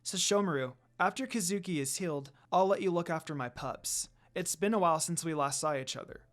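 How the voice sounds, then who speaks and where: A reader voicing a gentle demon noblewoman and mother in a fan fiction story, speaking in a measured, courteous way. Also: mid-range voice, natural voice.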